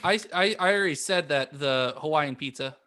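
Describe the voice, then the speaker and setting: A man with a fairly high voice co-hosts a podcast. His tone is very bright and forward, and he talks in a casual, conversational way. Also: moderate pace, clear, resonant tone. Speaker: podcast co-host. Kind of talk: casual chat.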